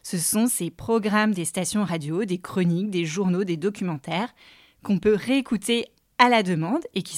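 A woman's voice: lowish voice, no room sound, clean studio sound. A woman hosting a podcast lesson, explaining in a playful, teasing way and addressing listeners directly. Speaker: podcast host and coach.

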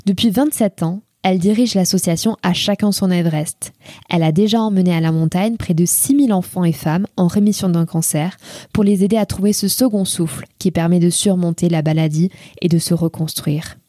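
Mellow woman podcast host, close and dry. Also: fairly low pitch, brisk, dry recording. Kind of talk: scripted podcast introduction and interview.

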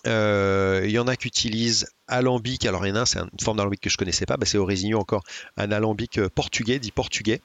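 A man telling stories in a casual chat, hesitant, with many pauses and restarts. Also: on the low side, steady tone, no room sound. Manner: hesitant, many filler sounds and restarts, storytelling. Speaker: podcast guest.

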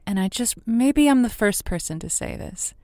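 A woman's voice: on the high side, animated, moderate pace, a little mellow, no room sound, natural voice. Woman playing an effusive radio advice host, dry sound. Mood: earnest, upbeat. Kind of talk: semi-improvised audio drama, radio call-in chat.

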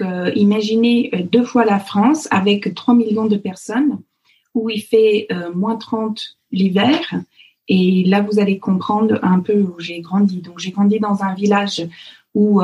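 A woman, from Canada, talks as an interview guest. Her voice sits at a middle pitch, and her speech is halting, full of fillers and restarts as she gathers her thoughts. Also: dark tone, dull audio. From Canada (Alberta). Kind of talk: casual interview talk.

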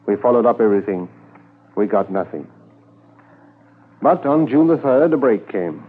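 Low-pitched sober Mountie narrator, tinny old radio. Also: male voice, lively intonation, slightly rough. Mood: sober, factual. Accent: Canadian. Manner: measured, matter-of-fact. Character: sober Mountie inspector narrating a manhunt case. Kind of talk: 1950s radio drama narration. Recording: tinny 1950s radio transcription, faint music.

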